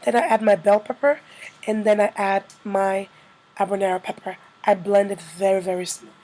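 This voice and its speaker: A woman with a slightly hoarse, congested-by-a-cold voice, narrating a casual cooking tutorial as a vlogger. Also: medium pitch, steady tone, moderate pace, warm tone, rough recording.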